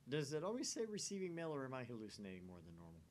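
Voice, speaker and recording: A man's voice: medium pitch, wildly swooping pitch, very fast, a little mellow, a little hiss or hum. A man reading a visual novel aloud as a gaming channel host.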